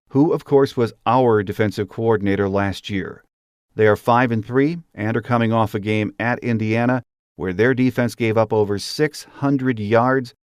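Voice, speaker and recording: A man hosting a sports podcast, reading a scripted monologue in a steady way. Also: fairly low pitch, no echo, studio quality. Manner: steady, read-from-script delivery. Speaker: podcast host.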